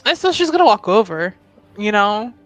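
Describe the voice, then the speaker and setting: A woman's voice: medium pitch, very animated, moderate pace, soft background music. A woman playing a tabletop roleplaying game, casually improvising narration for her character and hesitantly thinking aloud.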